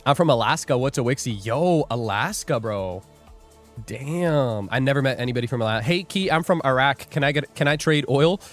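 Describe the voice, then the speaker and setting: A man's voice: mid-range voice, animated, fairly quick, soft background music. A young man hosting a live trading stream, joking and rambling as he reads viewer comments aloud. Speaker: livestream day trader host. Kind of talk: casual livestream chat.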